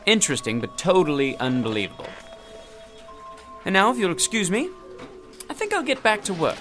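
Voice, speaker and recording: Curt, higher-pitched, dismissive man. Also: wildly swooping pitch, brisk, music in the background. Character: dismissive, curt man absorbed in his work. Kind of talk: radio drama acting.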